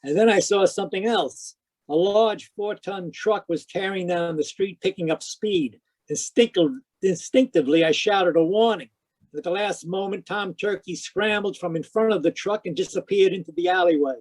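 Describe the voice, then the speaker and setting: A man's voice: sits high, normal speed, a little mellow. An actor playing a wry, inquisitive male private detective in a radio drama, telling his story in hard-boiled style. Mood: wry, narrating. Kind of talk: radio detective drama, first-person narration and dialogue. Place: live remote performance over video call.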